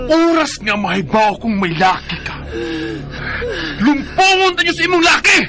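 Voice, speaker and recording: Casting: man to play menacing jealous partner, Bisaya accent, high animated shouting, radio drama with prominent music. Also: unhurried, gravelly, exaggerated voice. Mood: furious. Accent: Philippine, Bisaya. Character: violently jealous, bullying male live-in partner. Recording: loud music behind the voice.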